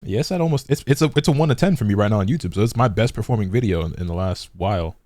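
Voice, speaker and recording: A man's voice: fairly low pitch, lively intonation, rapid-fire, a little mellow, dry recording, studio quality. A man streaming and chatting casually, laid-back and rambling, full of "like" and repeated words. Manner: laid-back, swears freely. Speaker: gaming streamer.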